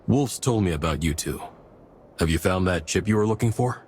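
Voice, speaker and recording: Deep-voiced, firm guerrilla captain. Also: male voice, lively intonation, moderate pace, noisy background. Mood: firm, businesslike. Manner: businesslike, direct. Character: firm, practical guerrilla captain in a besieged city. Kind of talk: video game cutscene dialogue. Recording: music clearly behind the voice.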